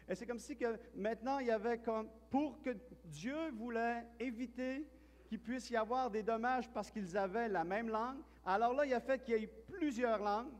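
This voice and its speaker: Man, Quebec French accent, pastor, high-pitched, chatty sermon, church hall, long reverb. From Quebec, Canada. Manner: chatty, storytelling, with frequent restarts and repeated words.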